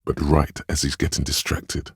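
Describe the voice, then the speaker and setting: An actor playing a grave, perceptive inner voice of empathy in a game, husky and measured, gently guiding the listener in intimate narration. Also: male voice, very animated, no room sound, studio quality, full character voice. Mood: somber. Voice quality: very deep, husky. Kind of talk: scripted game narration, second person.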